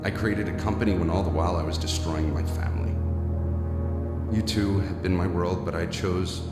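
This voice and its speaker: Actor as deep-voiced, haunted businessman narrator. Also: steady tone, close to an everyday voice. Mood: remorseful. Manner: brooding, deliberate, halting when shaken. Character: haunted, remorseful male business tycoon, husband and father. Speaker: narrator and lead actor in an audio horror drama. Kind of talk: dramatic first-person horror narration and dialogue. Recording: loud music under the voice. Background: dramatic music.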